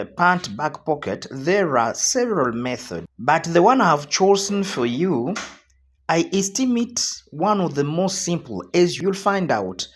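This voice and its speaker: Hoarse male tutorial host, slow and repetitive instructional delivery. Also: a little high.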